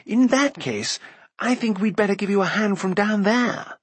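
A man's voice: on the high side, big pitch swings, a little slow, husky, no echo, voice pushed up, heavily acted. A man voicing a talkative, undaunted bard in an audiobook, with a wry, theatrical manner. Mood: obliging. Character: jaunty, wordy, undaunted male bard.

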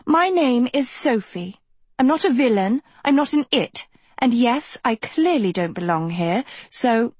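A prim, princess-like teenage girl, acted by a woman narrator, pitched well up. She is prissy and indignant, insisting politely as a storybook heroine would. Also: expressive, measured pace, few pauses, slightly rough, dry recording.

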